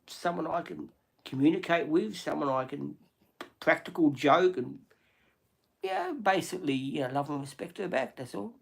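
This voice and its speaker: A man's voice: fairly high pitch, big pitch swings, normal speed, vocal fry. An Australian man hosting a livestream, chatting casually and bluntly with plenty of swearing. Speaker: livestream host. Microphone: phone or camera on a livestream.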